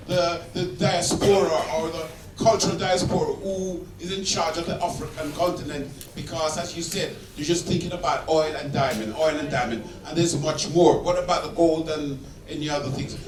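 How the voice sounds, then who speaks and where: A man's voice: fairly high pitch, background noise. A man in a cinema audience earnestly pressing a question at a film Q&A. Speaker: audience member asking a question. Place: cinema auditorium. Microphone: point-and-shoot camera across the room. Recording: echoey auditorium, distant camera mic.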